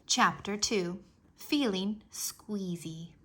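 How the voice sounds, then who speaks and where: Female children's audiobook-style narrator, very bright voice, expressive storybook delivery. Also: medium pitch, very slow, slight background noise. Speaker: read-aloud narrator for children.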